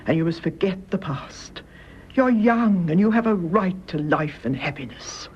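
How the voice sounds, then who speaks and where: An actress playing a composed, stoic older mother in a radio drama, speaking in a measured, tender way that drops into hushed tones. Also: lowish voice, expressive, husky. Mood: tender, resolute. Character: composed, stoic elderly mother confessing to mercy killing. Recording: muffled, hissy old radio drama recording.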